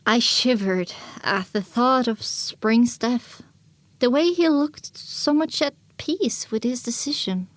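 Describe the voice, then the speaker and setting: A woman's voice: fairly high pitch, slow pace, no room sound. A woman narrating a dramatic audiobook in the first person as a young filly pony, reflective and scripted. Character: young filly pony recounting her wasteland journey.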